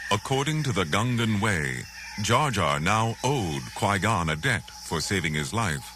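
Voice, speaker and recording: A man reading scripted storybook narration in a solemn, deliberate, authoritative, dramatic manner. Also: lowish voice, big pitch swings, noisy background. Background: music.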